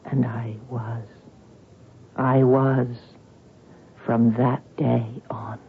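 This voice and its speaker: An actress playing a haunted, wistful woman who recalls her childhood in a dreamy, halting way, in radio drama style. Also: a bass voice, little pitch movement, background noise, full character voice. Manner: slow, dreamy, halting, dwelling on repeated words. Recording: tinny band-limited radio with music underneath.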